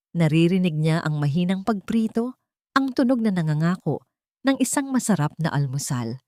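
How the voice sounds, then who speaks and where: A woman narrates a dramatic romance story from a script. Her pitch is a little lower than average. The recording is close and dry, with no room sound. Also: a little mellow, dry recording.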